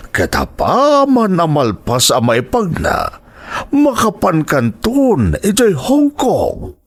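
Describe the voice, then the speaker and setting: A man's voice: sits high, full character voice. A man playing a fatherly older boss in an audio drama, encouraging and coaxing, with a Filipino accent. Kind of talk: Ilocano audio drama acting.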